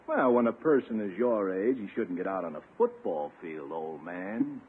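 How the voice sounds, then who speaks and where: An actor playing a smug doctor and romantic rival in a 1950s radio sitcom, teasing with false concern. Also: male voice, low-pitched, animated, normal speed, a little hiss or hum. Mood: smug, needling. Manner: teasing, mock-solicitous. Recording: tinny 1950s radio recording.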